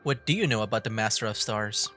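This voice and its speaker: A man's voice: on the low side, fast, sharp tone. A man streaming a story game, rambling with lots of fillers as he reacts. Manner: rambling, filler-heavy, laughs easily. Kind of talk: casual let's-play commentary. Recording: stream with game music behind.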